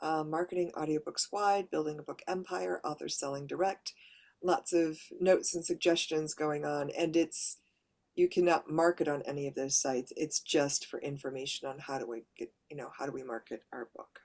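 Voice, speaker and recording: A woman with a mid to lower voice who narrates audiobooks, giving a practical, conversational workshop presentation to writers. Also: steady tone, normal speed, a little mellow. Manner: practical, conversational, explaining step by step.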